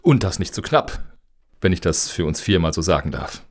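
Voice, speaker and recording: A man's voice: sits low, sing-song, moderate pace. A man playing a self-assured, blunt spokesman for a provocative pressure group in a satirical radio play. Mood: pointed.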